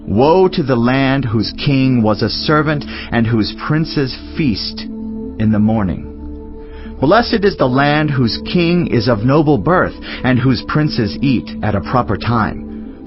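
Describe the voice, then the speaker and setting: A man playing a wise, solemn teacher of ancient scripture in a dramatized audiobook, speaking in a measured, deliberate way. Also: mid-range voice, lo-fi sound. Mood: grave counsel. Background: background music.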